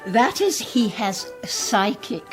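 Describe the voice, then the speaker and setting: A woman's voice: on the high side, sing-song, takes it slowly, faint music behind. An older woman with a Scottish accent playing a proud, genteel, talkative mother in a radio drama. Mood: proud, chatty. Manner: genteel, chatty, boastful. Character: proud, talkative mother of a grown psychic son. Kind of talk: naturalistic radio drama acting.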